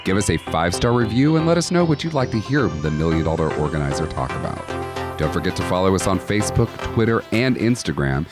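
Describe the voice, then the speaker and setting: A man's voice: sits low, very animated, fast. A man reading a scripted promotional podcast intro and outro as an announcer. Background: music.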